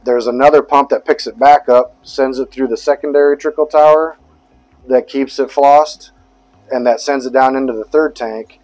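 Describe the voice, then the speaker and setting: A man's voice: medium pitch, steady tone, moderate pace, darkish tone, low-quality recording. A man casually walking a visitor through his basement pump room, explaining his aquarium plumbing in a plain, matter-of-fact way. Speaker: fish tank hobbyist giving a tour. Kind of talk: casual walkthrough tour.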